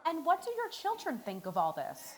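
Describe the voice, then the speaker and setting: A wry female entertainment reporter from a radio play. The voice is on the high side and moves freely up and down, with dry skepticism in every probing question. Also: huge pitch swings, normal speed, a little mellow, low-quality recording.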